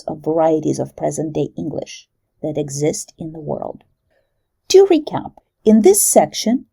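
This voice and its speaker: Woman lecturer, lively measured delivery, dark gravelly tone. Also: medium pitch. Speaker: university lecturer. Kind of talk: recorded lecture narration.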